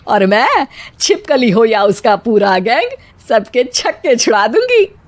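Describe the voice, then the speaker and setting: Brief: high-voiced scolding mother-in-law, a woman acting it, bossy and quarrelsome, cartoon. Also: sing-song, a little slow. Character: bossy middle-aged mother-in-law, scared of bugs.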